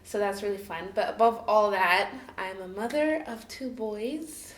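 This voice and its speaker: A woman's voice: medium pitch, expressive, measured pace. Chatty woman in an echoey room. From Cameroon, raised in the UAE. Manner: chatty, laughs often, many fillers like "like" and "you know". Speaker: interview guest. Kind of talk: casual personal storytelling.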